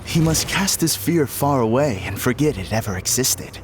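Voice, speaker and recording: An actor voicing a polite, serenely deluded villain in a video game, speaking in a calm, formal, grandiose manner. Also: male voice, mid-range voice, moderate pace, slight background noise, lightly acted. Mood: serene confidence. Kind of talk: theatrical video game voice acting. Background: game music.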